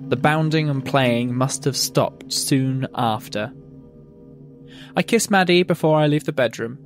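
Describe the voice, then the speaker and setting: A man narrates a scripted horror story in the first person. His voice sits at an average pitch, and music plays clearly behind him. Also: a little slow.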